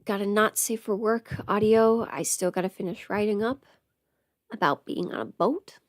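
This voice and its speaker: A woman's voice: mid-range voice, normal speed, no room sound, clean studio sound. A woman who is sick with a cold, chatting casually on a livestream in a self-deprecating, playfully sarcastic way. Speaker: audio script performer hosting a livestream. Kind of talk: casual rambling livestream chat.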